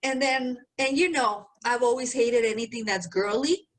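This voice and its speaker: A woman's voice: a little high, moderate pace. A young adult woman co-hosting a podcast, telling stories in a laid-back way, cussing freely and calling her friend "bro". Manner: profane, laid-back storytelling, says "bro" a lot. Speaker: podcast co-host. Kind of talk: casual chat.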